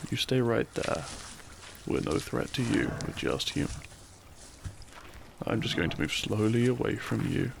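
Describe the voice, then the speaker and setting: A man playing a cheeky, tender and protective young bandit outlaw in an intimate romantic audio roleplay, speaking in a gentle, courtly, teasing way. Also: medium pitch, very animated, a little slow, creaky, background noise, full character voice. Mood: tense, hushed caution.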